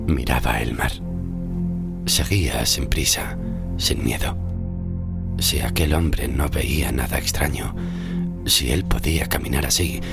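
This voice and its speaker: An actor from playing a haunted, melancholy shipwrecked sailor who tells his tale in a literary horror audio drama, slow and solemn. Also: rumbling low, gravelly, exaggerated voice. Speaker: narrator and lead character. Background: music under the voice.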